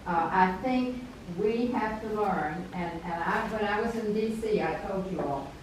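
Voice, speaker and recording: Council lady with a big forceful voice, sounds far off in a big echoey room with noise under it. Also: mid-range voice, unhurried. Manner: forceful, says she has a big voice. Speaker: council member. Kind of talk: public meeting remarks. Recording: echoey hall picked up from far off.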